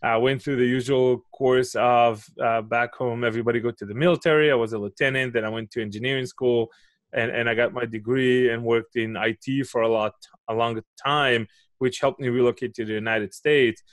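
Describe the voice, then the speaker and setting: A man's voice: mid-range voice, steady tone, brisk, dry recording. A man in his forties from Israel with an Israeli accent, a guest explaining his views on a video call and often checking in with "right?". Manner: explanatory, makes a point then asks "right?". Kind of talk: interview answers. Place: home office. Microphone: headset with studio mic on a video call.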